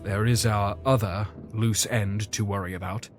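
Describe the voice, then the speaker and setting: An actor playing a fussy, caring Victorian gentleman doctor in an audio drama, with earnest, old-fashioned formal speech. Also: lowish voice, steady tone, normal speed, over music, natural voice. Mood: grave, puzzled.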